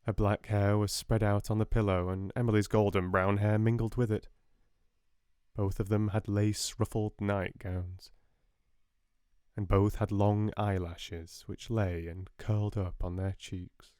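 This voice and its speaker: A British man narrates a storybook in a soft voice. His pitch is on the low side, and the recording is dry, as suits an audiobook. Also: little pitch movement, a little slow, clear, resonant tone, dry recording.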